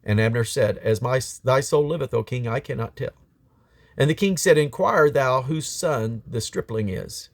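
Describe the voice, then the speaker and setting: A man with a medium-pitched voice reads scripture aloud. He delivers it solemnly, as a story, leaning on key words for emphasis. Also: lively intonation, normal speed, gravelly. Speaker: Bible reader.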